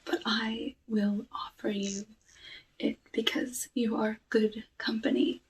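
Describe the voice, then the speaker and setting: A woman playing a lonely, courteous, slyly menacing forest witch in an ASMR roleplay, speaking softly and often whispering in formal, deliberate phrases. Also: on the high side, level tone, distant mic, a little hiss or hum, poor audio. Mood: softly hospitable. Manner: hushed, slow, deliberate, formal old-fashioned phrasing.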